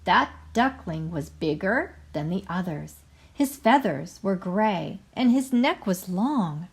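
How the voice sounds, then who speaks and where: A woman teacher reads aloud for young children. Her pace is unhurried and her pitch moves a lot, with careful, expressive wording meant for little learners.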